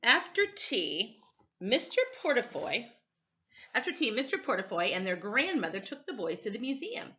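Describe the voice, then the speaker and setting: Bright, animated woman reading a children's story. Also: fairly high pitch, normal speed, highs cut off. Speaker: storytime reader, teacher.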